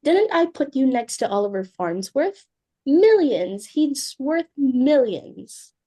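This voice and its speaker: A woman's voice: high voice, sing-song, slow pace, warm tone, full character voice. A woman playing a fussy, domineering society hostess and mother in a dramatic reading of a stage play, exclamatory and imperious. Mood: scolding, eager.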